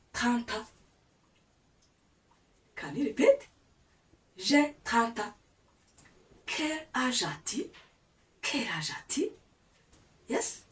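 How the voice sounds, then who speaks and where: A woman with a Rwandan accent teaching a language lesson, coaxing listeners to repeat with many prompts. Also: on the high side. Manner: patient, coaxing, many "yes?" and "aha" prompts. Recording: echoey room, voice a bit far from the mic.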